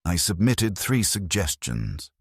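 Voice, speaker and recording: A man as a hollow, very deep-voiced doomed worker. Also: sing-song, slow, slightly rough, no echo, clean studio sound, exaggerated voice. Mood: hollow despair. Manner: hollow, bleak. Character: despairing, hollow-voiced male factory worker condemned to die.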